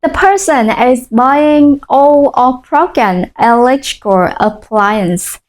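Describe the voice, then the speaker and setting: A woman with a Vietnamese accent, a native Vietnamese speaker, teaching a language lesson and modelling phrases slowly and clearly for repetition. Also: sits high, expressive.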